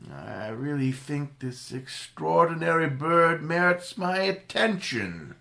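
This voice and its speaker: A man acting a calm, commanding playboy crime-fighter in a 1930s-style radio drama reenactment. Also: on the high side, slow, vocal fry, exaggerated voice. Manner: cool, commanding, reassuring.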